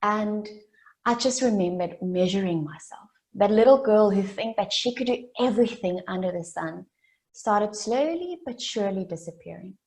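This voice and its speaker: A South African woman in her late twenties, a native Afrikaans speaker, giving an earnest motivational keynote that builds its story through deliberate repetition. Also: mid-range voice, expressive, darkish tone. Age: late 20s. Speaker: summit speaker.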